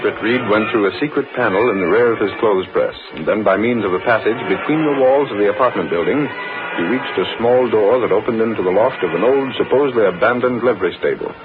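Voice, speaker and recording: A man's voice: fairly low pitch, fast talker, natural voice. A man narrating an old-time radio adventure serial in a scripted, dramatic style. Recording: dull old radio broadcast recording. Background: music.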